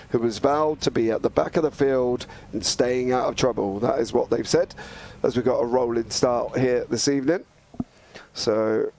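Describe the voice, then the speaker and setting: A man with a croaky, flu-worn voice doing live commentary on a sim race, chatty and quick to run through the order. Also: medium pitch, a little mellow, slight background noise. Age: adult with kids and wife. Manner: chatty, reads grid order briskly.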